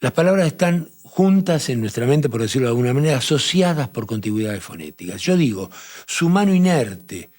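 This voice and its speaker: A man with a Rioplatense accent explaining poetry and translation in a measured talk-show conversation, often restarting his phrases. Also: mid-range voice, very animated, darkish tone. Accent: Rioplatense Spanish. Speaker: literature professor on a TV talk show.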